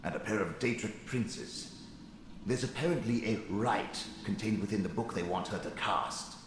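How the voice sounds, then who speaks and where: A man's voice: on the low side, normal speed, close to an everyday voice. Man voicing a haughty, echoing ghost wizard. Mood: disdainful. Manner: imperious, theatrical, wordy. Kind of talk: scripted video game acting. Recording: echoing cavernous reverb, faint noise.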